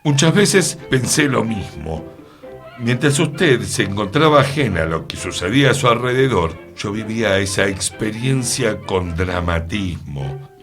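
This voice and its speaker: An actor playing an earnest, formal radio co-host bewildered by magic in a scripted radio play. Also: male voice, on the low side, sing-song, a little slow, fairly bright tone, soft background music. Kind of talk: scripted radio theatre dialogue.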